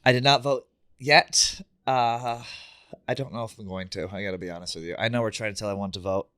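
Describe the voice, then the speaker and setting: A man's voice: fairly low pitch, a little fast, bright, forceful tone, clear, resonant tone, dry recording. A man co-hosting a podcast, chatting casually in a brash, teasing way and swearing freely. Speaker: podcast co-host. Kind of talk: casual chat.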